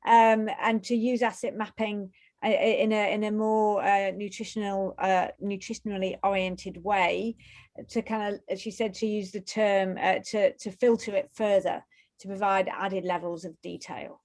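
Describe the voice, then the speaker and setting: Brief: woman webinar moderator, mid-pitched, reads audience questions hesitantly. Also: steady tone, moderate pace, a little mellow. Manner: hesitant, with many fillers.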